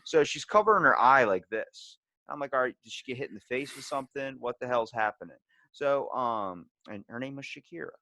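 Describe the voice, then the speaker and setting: A man's voice: mid-range voice, a little fast, dry recording. A man hosting a podcast in casual chat, telling rambling stories and acting out the dialogue with some swearing. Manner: rambling, animated retelling with acted-out dialogue and profanity. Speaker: podcast host.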